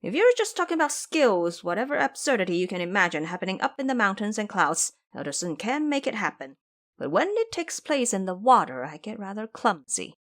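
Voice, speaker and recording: A woman's voice: mid-range voice, very animated, fairly quick, a bit bright. A woman voicing a clever, confident male monkey elder brother in an audio drama, wry and instructive. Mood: confident, advising. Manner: wry, instructive, sure of himself.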